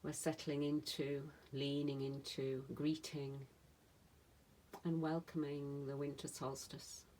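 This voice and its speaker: A woman meditation teacher leading a guided relaxation in a soothing manner, speaking in short phrases with long pauses. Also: sits low, level tone, a little mellow, slight background noise, poor audio. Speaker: yoga nidra meditation teacher.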